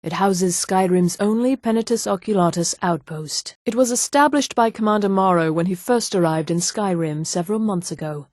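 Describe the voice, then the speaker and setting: A woman playing a poised, coolly menacing elf assassin in a fantasy video game, speaking with formal, measured, deliberate diction. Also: mid-range voice, a little mellow, dry recording, clean studio sound, close to an everyday voice. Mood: measured briefing.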